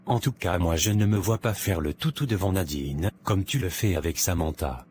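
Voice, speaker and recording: A man playing an irritable, self-assured and covetous married man in an animated drama, with a dismissive, grumbling manner. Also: sits low, normal speed, a little hiss or hum, close to an everyday voice. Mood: mocking, irritated. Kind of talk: scripted animated drama dialogue.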